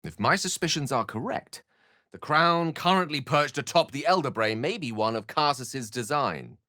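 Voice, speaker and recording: An actor playing an eloquent, theatrical wizard in a fantasy video game, learned, courtly and wry, with articulate, bookish phrasing. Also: male voice, mid-range voice, animated, moderate pace, bright, forceful tone, dry recording, clean studio sound. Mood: grave.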